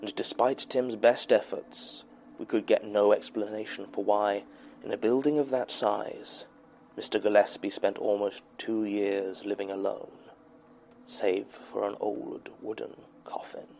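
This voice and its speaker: A sceptical English archivist in an audio drama, low and gravelly. He dictates case notes formally and dismissively, on a slightly dull, muffled recording. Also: flat delivery, a little slow, a little mellow, a little hiss or hum. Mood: dry, sceptical. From Bournemouth, England. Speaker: archivist narrator of horror podcast.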